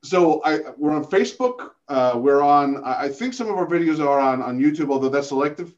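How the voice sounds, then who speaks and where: A man with a gravelly voice, talking casually as a podcast guest, rambling with many restarts and self-deprecating asides. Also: fairly high pitch, lively intonation, fairly quick, dull audio. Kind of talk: casual interview chat.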